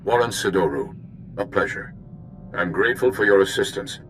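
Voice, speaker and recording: A man playing a measured Republic diplomat. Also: on the low side, a bit bright, a little hiss or hum, natural voice, low-quality recording. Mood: calm briefing. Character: earnest male Republic diplomat, calm and measured. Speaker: mission contact. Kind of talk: video game voice acting. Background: music.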